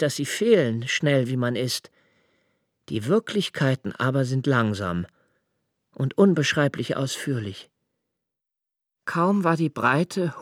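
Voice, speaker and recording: An actor playing a melancholy, observant young man, narrating a literary radio drama in a measured, introspective way. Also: medium pitch, no echo, studio quality, close to an everyday voice. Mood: absorbed, reflective. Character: melancholy, observant young man recalling his father's death.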